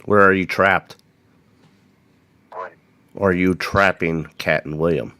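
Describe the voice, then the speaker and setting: A man with a very deep voice questions the spirits in a manor, cracking jokes between questions. His voice sounds close and dry, with no room around it. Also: fairly even intonation, slow pace, pushed and bright, dry recording. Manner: joking, direct questioning. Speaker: paranormal investigator. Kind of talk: questioning spirits, joking banter.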